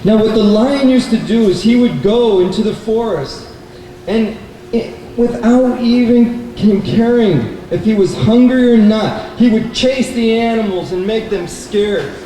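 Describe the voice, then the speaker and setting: A man presenting a children's puppet show, telling a story deliberately to a live audience and putting questions to the kids. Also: high-pitched, mellow. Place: indoor bookstore event, live. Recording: echoey room, distant mic, faint noise.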